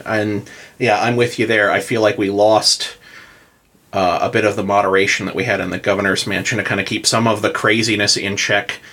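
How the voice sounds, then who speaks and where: Husky, forceful American man with lowish pitch. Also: little pitch movement, fairly quick. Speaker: podcast host. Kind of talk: casual political commentary.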